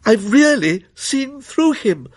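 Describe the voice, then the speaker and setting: Ghost story daughter, man acting: young, frightened, insistent, voice high and husky-soft, eerily sure. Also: very animated, slow, heavily acted. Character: frightened young girl, insistent, eerie certainty. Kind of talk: dramatic ghost story reading.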